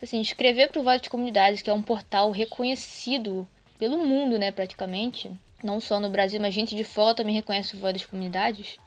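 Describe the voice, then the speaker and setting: A woman's voice: medium pitch, steady tone, a little fast, few pauses. A woman in her twenties with a Rio de Janeiro Brazilian accent, talking casually about herself as a podcast guest. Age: 20s. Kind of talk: casual self-introduction and recollection. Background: music.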